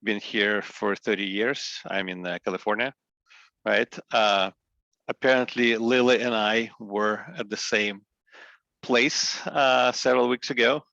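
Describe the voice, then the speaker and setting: A man's voice: lowish voice, fairly even intonation, unhurried, gravelly, pushed and bright, no room sound, highs cut off. A man from Ukraine on a video call, speaking earnestly in a loose, rambling way. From Odessa, Ukraine. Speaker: community member. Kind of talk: casual video call chat.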